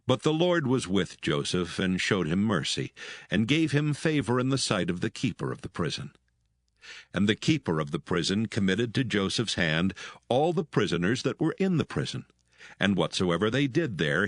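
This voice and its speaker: A man narrating dramatised scripture in a solemn, measured manner. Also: fairly low pitch, big pitch swings, dry recording.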